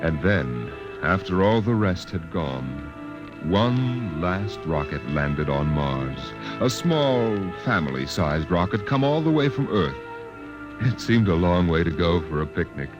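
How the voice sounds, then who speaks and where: A man narrating a radio drama in a grave, deliberate, dramatic storytelling style. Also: deep voice, very animated. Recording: muffled vintage radio recording with music.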